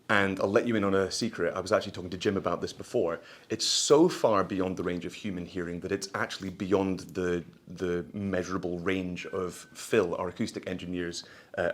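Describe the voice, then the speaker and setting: A man's voice: low voice, fairly bright tone. A man giving a product launch presentation as a company presenter, in a polished, florid sales manner with hesitant asides.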